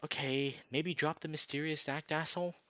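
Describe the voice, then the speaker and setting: A man's voice: fairly high pitch, steady tone, normal speed, a bit bright, dry recording, muffled recording, pitched above the performer's own voice, lightly acted. Man voicing an exasperated, defensive young guy. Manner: exasperated, informal, swearing. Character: irritable, defensive young male narrator character.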